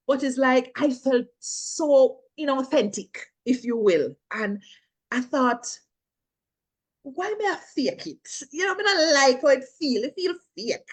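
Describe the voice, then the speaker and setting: A middle-aged woman with a Jamaican accent, chatting animatedly as an interview guest, laughing often and slipping into patois. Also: fairly high pitch. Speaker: filmmaker and actress, guest. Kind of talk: casual interview chat.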